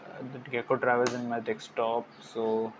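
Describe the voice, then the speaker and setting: A young man, speaking quietly and thinking aloud while he works through a programming tutorial. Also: completely flat delivery, normal speed. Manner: quiet, low-key, thinking aloud with trailing mumbles. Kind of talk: casual screen-recorded coding tutorial. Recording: dull lo-fi mic with clear background hiss.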